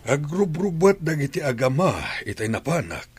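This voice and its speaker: Practical father with a husky voice man acting. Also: on the high side, sing-song, moderate pace. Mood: reasoning, firm. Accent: Ilocano. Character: older father, practical and reasoning. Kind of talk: Ilocano radio drama acting.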